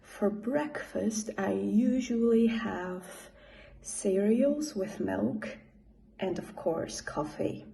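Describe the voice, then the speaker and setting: A woman from Poland, Polish by first language, who works as an English teacher, answering interview questions with hesitant pauses. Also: mid-range voice, vocal fry, dark tone. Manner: slow, with hesitant pauses and fillers.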